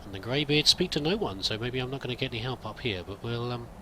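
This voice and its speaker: Bright-voiced man musing through a game. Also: mid-range voice, quick pace, a little hiss or hum. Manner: musing, hesitant, thinking aloud. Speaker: let's play roleplayer. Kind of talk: in-character game commentary.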